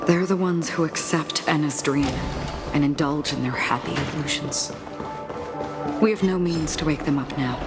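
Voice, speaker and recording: An actress playing a composed, coolly courteous woman warrior in a video game, grave, formal and deliberate in her speech. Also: sits low, lightly acted. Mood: grave, resolute. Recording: long reverb, music behind. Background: game music.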